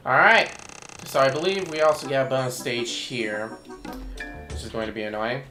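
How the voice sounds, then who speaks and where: Hoarse man commentating a game. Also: mid-range voice, lively intonation, moderate pace, pushed and bright, roomy sound. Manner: rambling, thinks aloud while playing. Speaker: let's play commentator. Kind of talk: casual gameplay commentary. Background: game music.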